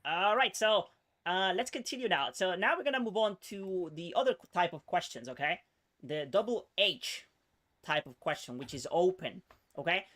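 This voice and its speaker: A man's voice: sits high, fairly bright tone. A man teaching English one-on-one, patiently explaining question words and checking in often with "okay?", sometimes explaining in Spanish.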